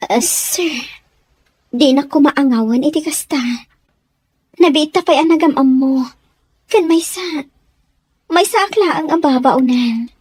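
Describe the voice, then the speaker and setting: A woman's voice: high-pitched, measured pace, pauses often, a little mellow, no echo. A woman playing a humble, earnest young house servant in an Ilocano radio drama, with an Ilocano accent. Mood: hesitant, pleading. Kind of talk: Filipino radio drama acting.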